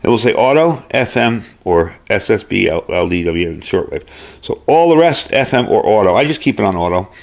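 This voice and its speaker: A man's voice: fairly low pitch, lively intonation, fairly quick, gravelly. A man giving a casual hands-on gear review as host, explaining things informally, step by step.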